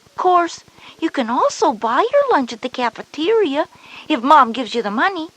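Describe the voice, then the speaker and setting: A woman's voice: high-pitched, very animated, moderate pace, a bit bright, no echo. A woman playing a knowing, reassuring older sister of school age in a children's educational audio drama.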